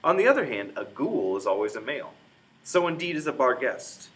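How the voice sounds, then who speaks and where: A man's voice: medium pitch, very animated, fairly quick, rough recording. A man reading a children's book aloud in a dramatic, emphatic storytelling manner. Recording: clear background noise, room ambience.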